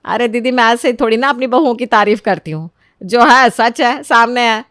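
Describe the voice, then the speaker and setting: A woman's voice: fairly high pitch, normal speed, fairly bright tone, no echo, studio quality, natural voice. A woman voicing a bossy older mother-in-law in a storybook drama, with a commanding, emphatic way of talking. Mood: delighted, proud. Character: bossy older mother-in-law, proud of her younger daughter-in-law. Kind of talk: dramatized Hindi moral storytelling.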